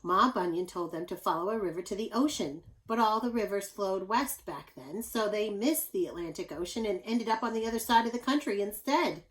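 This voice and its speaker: A woman reads a picture book aloud to children in a mid-pitched voice. Her delivery is expressive and teacherly, and she speaks directly to her young listeners. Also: brisk, few pauses, rough recording. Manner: animated, addresses young listeners with asides.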